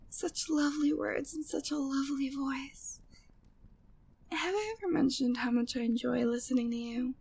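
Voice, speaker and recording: High-voiced flirty, devoted maid played by a woman. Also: slow pace, pauses often, heavily acted. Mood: smitten, teasing. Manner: formal, genteel phrasing, breathy sighs, teasing. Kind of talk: intimate ASMR roleplay, scripted monologue.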